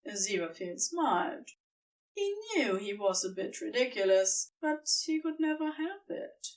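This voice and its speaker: A woman reading fiction as an audiobook narrator, with deliberate storytelling delivery and dramatic pauses. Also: fairly high pitch, very animated, distant mic. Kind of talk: expressive scripted fiction narration.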